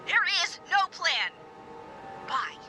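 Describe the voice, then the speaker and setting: A woman's voice: very high voice, big pitch swings, slow pace, over music, heavily acted. An actress playing a bossy, short-tempered young woman in a video game, curt and commanding over a walkie-talkie. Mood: furious, curt. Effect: walkie-talkie radio. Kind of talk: naturalistic video game acting.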